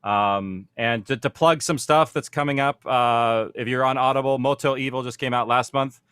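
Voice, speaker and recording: Man, mid-pitched, informal panel host and explainer, very little room sound. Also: moderate pace, a bit bright, smooth, no echo. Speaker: panel host, audio production producer.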